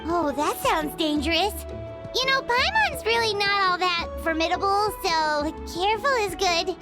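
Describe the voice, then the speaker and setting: A woman's voice: very high voice, animated, unhurried. A woman voicing a tiny, chirpy, candid childlike fairy companion in a video game. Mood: cautious. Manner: speaks of self in third person, childish. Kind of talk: video game cartoon-like voice acting. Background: music.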